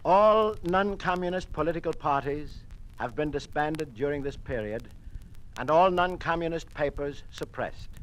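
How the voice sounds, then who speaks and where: A man's voice: a little high, lively intonation, moderate pace, natural voice. An actor with an American accent playing an earnest, dutiful male communist conspirator in an old-time radio drama. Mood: formal, official. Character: uneasy male communist conspirator, later a dutiful party official. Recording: noisy vintage radio recording.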